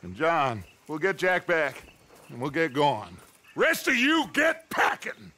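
An actor playing a charismatic, grandiose middle-aged outlaw boss in a western game, with an American accent and an oratorical, deliberate delivery. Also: male voice, a little high, huge pitch swings, bright, forceful tone, heavily acted. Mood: commanding. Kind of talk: dramatic western game acting.

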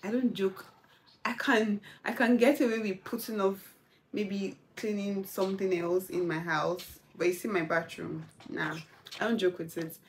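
A young woman, chatting casually at home as a lifestyle vlogger, relaxed and gushing with soft laughs. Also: mid-range voice, measured pace, slight background noise. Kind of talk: casual chat to camera.

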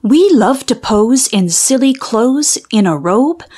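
Lady reading a kids phonics book, slow and careful, very up and down pitch, stretches out sounds. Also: lively intonation, mellow, breathy edge. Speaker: read-aloud storyteller for children. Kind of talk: scripted children's story and phonics reading.

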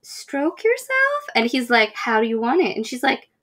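Young female podcast host, higher register, very expressive intonation, giggly casual storytelling. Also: moderate pace. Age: young adult. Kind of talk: casual book recap with acted-out dialogue. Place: indoors, room with bookshelves.

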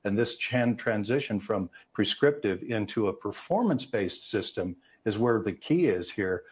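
A man's voice: fairly low pitch, lively intonation, moderate pace, husky. An American man in his sixties giving expert safety commentary in a news interview over a video call. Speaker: aviation safety analyst. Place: indoor room on a video call.

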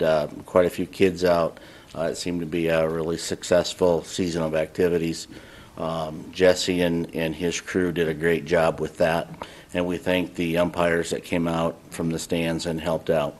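City admin guy at a council meeting, real deep voice, monotone. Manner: plain, even update with uh fillers.

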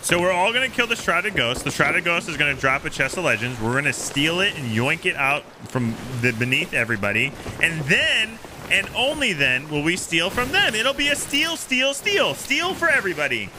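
A man's voice: a little high, big pitch swings, normal speed, background noise. A man in his thirties chatting casually on a game live stream in a playful, theatrical storytelling way, close on the mic in a small home room. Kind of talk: casual live-stream chat while gaming. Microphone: close streaming microphone.

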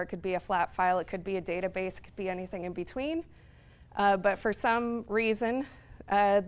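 A woman in her thirties giving a technical talk in a lecture room, explaining at length with frequent fillers. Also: medium pitch. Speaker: conference speaker. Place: lecture room with projector screen.

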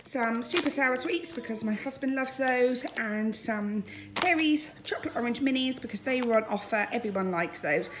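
British woman, chatty and fairly high-voiced. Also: background noise. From United Kingdom. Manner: chatty, informal. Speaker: family vlogger. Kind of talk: casual grocery haul narration. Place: home dining room, indoors.

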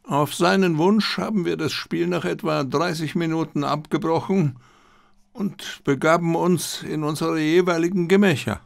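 A man voicing an old, proud, disciplined Japanese army general who speaks in a formal, measured way. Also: on the high side, vocal fry, no room sound, pitched above the performer's own voice.

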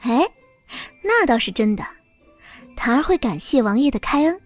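An actress playing a young princess consort in an audio drama, demure and easygoing with a quietly defiant, nonchalant politeness. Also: female voice, a little high, very animated, soft background music. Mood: nonchalant, polite. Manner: polite, unhurried, slyly nonchalant. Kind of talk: Mandarin audio drama acting.

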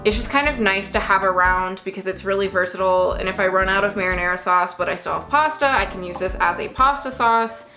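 Young woman, bright voice, casual haul vlog, dry asides, small bedroom, faint music. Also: mid-range voice, fairly quick, hardly any pauses. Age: young adult. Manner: chatty, matter-of-fact, with dry asides. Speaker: vlogger.

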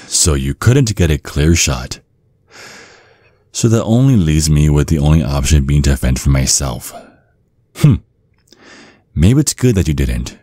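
A man playing a seductive, vengeful vampire in an ASMR roleplay, taunting in a sultry, husky, breathy voice. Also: a bass voice, mellow, no room sound, full character voice. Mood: smug, sensual. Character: seductive, vengeful male vampire, former protégé of a priest.

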